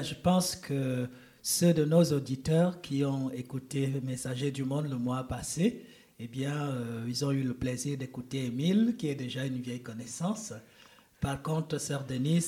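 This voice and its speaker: A priest hosts a radio chat in a soft, mellow tone. He speaks gently and hesitates with small fillers between his questions. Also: mid-range voice. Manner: gentle, warm hesitant interviewer with many fillers. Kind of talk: radio interview.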